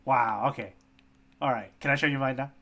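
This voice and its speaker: A man's voice: mid-range voice, a little fast, creaky, fairly bright tone, a little hiss or hum. A man co-hosting a casual manga readalong podcast, talking in an informal, enthusiastic way. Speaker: podcast co-host.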